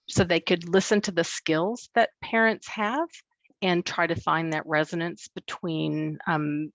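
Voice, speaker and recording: A woman researcher giving an academic presentation at a book launch, speaking in a measured way with many ums and uhs, over a video call. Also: on the low side, gravelly, a bit bright, dry recording. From not from New Zealand. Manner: measured, with frequent um and uh fillers. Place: New Zealand, speaking remotely.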